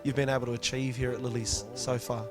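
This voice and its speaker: A man's voice: mid-range voice, level tone, fast talker, music in the background. An Australian man hosting and interviewing, chatty and upbeat, prompting his guests. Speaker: interviewer and event host. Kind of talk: casual interview. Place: restaurant function room with music playing.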